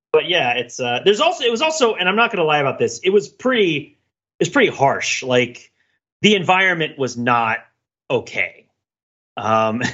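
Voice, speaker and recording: Middle aged podcast dude telling stories, animated, bright kinda raspy voice, slightly muffled lo-fi audio. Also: male voice, a little high. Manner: animated storyteller, acts out imagined dialogue, laughs while talking. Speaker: podcast host. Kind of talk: casual conversational podcast chat.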